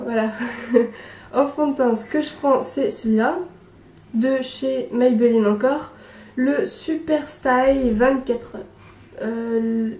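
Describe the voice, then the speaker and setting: A young woman with a hoarse, cold-roughened voice, hesitantly talking through a makeup tutorial. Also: on the high side, warm tone, recorded from a distance. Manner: hesitant, many pauses and restarts. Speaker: beauty vlogger. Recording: echoey room, away from the mic.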